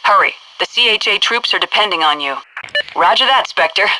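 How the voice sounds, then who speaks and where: An actress playing a composed, commanding headquarters officer who gives crisp, businesslike mission orders over military radio in a video game. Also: female voice, medium pitch, expressive, normal speed, pushed and bright, dry recording, rough recording.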